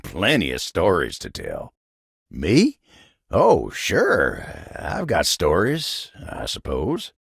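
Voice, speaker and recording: Kindly wooden table character, Southern American country drawl, gravel-throated, lively rise and fall, self-effacing, folksy, game voice acting. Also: male voice, deep voice, slow, dry recording, studio quality, heavily acted. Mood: friendly, modest. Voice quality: gravelly, husky. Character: kindly, hardworking, humble male talking wooden table.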